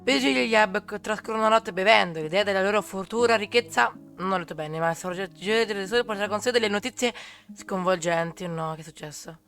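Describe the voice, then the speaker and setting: A man's voice: high-pitched, fast talker, fairly bright tone, faint music behind. A man giving casual, musing commentary as he plays a game, sometimes reading captions aloud. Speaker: streamer reacting to a game.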